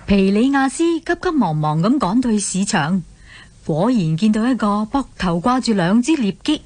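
A woman with a Hong Kong Cantonese accent narrating a scripted radio drama as an expressive storyteller. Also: mid-range voice, dry recording.

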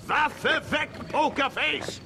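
A man's voice: extremely high pitch, slow, gravelly, background noise, heavily acted. A man playing a resolute, commanding lawman in a western children's audio drama.

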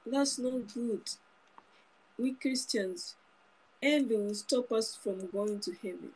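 A woman's voice: on the high side, takes it slowly, a little mellow, slightly rough, poor audio. A woman in her thirties giving an informal, earnest sermon to her phone camera in a small room, often repeating and restarting phrases. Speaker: preacher. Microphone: phone camera close up.

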